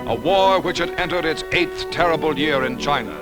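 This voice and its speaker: American man, 1940s newsreel narrator, higher-pitched voice, formal dramatic delivery, vintage soundtrack, loud music behind. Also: animated, moderate pace. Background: loud music score.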